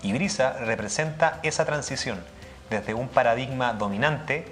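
Man reading a formal voice-over, steady, middle pitch, soft music under it. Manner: measured, formal. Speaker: narrator. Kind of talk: scripted narration.

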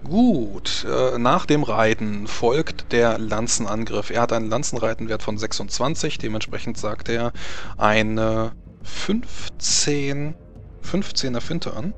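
A man's voice: mid-range voice, slow pace. A man narrating and refereeing a tabletop roleplaying game as game master. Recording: music playing behind the voice. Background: music.

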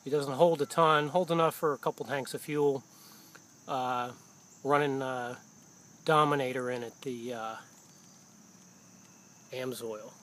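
A man's voice: mid-range voice, a little slow, pauses often. A man casually talking through his motorcycle outdoors, explaining details as he films with a handheld camera. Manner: relaxed, plain-spoken, explaining as he goes. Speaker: motorcycle owner. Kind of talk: casual walkaround narration. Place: outdoors beside a parked motorcycle. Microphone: handheld camera or phone.

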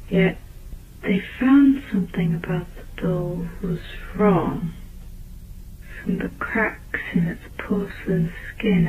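Slow woman storyteller on muffled tape. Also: lowish voice. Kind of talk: scripted narration of a dark children's tale. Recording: muffled, noisy old tape, phone-like band.

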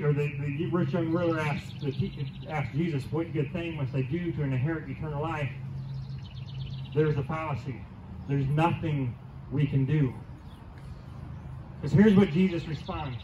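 A middle-aged pastor giving a conversational outdoor sermon, asking the crowd questions and repeating key lines, heard through a handheld mic and PA. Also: male voice, on the high side, noisy background. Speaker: teaching pastor. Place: church lawn outdoors, congregation under a tent. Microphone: handheld mic through PA speakers, filmed from afar. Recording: distant, roomy outdoor PA sound.

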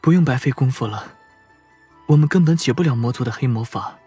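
An actor playing a wounded, weary young man in an audio drama, breathy and sighing. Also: medium pitch, a little fast, mellow, soft background music.

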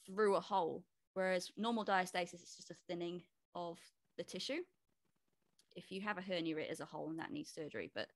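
A woman's voice: mid-range voice, dry recording. NZ woman trainer, chatty talk on a video call, dry close sound. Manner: chatty, explains with quoted asides. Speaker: personal trainer presenting to a trainers' meeting. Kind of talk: casual presentation over a video call.

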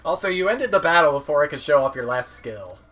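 A man giving casual game commentary in a halting, musing way, sometimes reading text aloud. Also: fairly high pitch, expressive, fairly bright tone. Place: indoor room at home, seated. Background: game music.

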